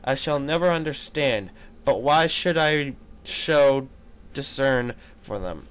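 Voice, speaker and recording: A man's voice: mid-range voice, animated, slow, a bit bright, clear, resonant tone. A man reading a fan fiction aloud as a formal, threatening ancient demon, pompous and grandiose. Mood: menacing, scornful. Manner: pompous, archaic wording.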